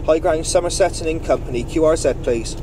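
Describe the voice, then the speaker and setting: A man with a slightly high voice works as a CB radio net operator, giving procedural calls and courteous replies. He sounds away from the mic, with loud radio and vehicle noise all around. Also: brisk. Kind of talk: radio call-outs and casual operator chat. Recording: noisy vehicle CB radio setup, distant mic.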